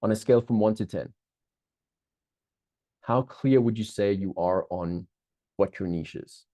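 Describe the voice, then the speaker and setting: South African male webinar host, middle-aged, mellow tone, dry close sound, chatty with frequent restarts. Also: on the low side, unhurried, dry recording. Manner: upbeat, chatty, many restarts, keeps checking in with the audience. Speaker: business coach hosting a live webinar. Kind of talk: live online masterclass presentation.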